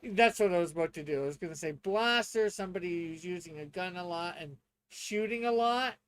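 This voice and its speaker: A man's voice: high voice, a little fast, a bit bright, slight background noise. A man chatting casually and thinking aloud as a player learning a tabletop game.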